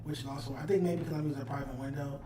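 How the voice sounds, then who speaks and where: A man's voice: medium pitch, fairly even intonation, very fast, echoey room, noisy background. A man with a Los Angeles accent hosting a casual livestream chat, loose and chatty, reading out viewer comments. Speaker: podcast host.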